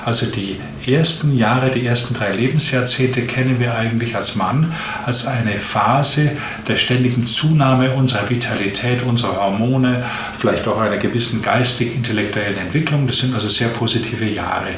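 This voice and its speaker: A man's voice: mid-range voice, normal speed, a bit bright. Echoey, noisy recording of a man explaining. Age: 57, late fifties. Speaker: interviewed physician. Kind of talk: interview, explanatory talk. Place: small indoor room, seated at a desk. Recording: echoey room with clear background noise.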